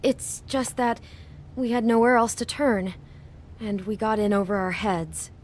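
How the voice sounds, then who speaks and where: An actress playing a worried, exasperated wife in a game drama, earnest and placating. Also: female voice, a little high, measured pace. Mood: pleading, anxious. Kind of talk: video game drama acting.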